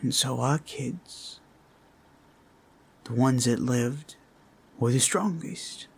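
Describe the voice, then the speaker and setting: A man plays a devoted orc father in a fantasy roleplay, weighed down with worry for his children. He talks slowly and haltingly, with an earnest, reflective manner. His pitch is middling for a man, with some ambient noise behind him. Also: creaky. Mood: heartfelt, sorrowful. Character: Omar, anxious, devoted orc father, grieving for kids. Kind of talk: roleplay audio drama.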